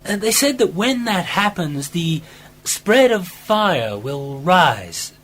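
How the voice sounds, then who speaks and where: A man acting as a curious, hesitant companion in a radio play, halting and full of questions. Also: high voice, sing-song, a bit bright, full character voice. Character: curious, hesitant male companion, puzzled by science.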